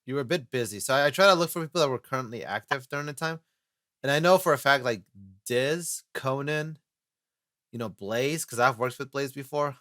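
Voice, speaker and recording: A man with a bright, forward voice hosting a loose podcast conversation. He rambles and fills gaps with "you know" often. The sound is dry and close. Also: medium pitch, a little fast, dry recording. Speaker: host and casting director. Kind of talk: casual podcast chat.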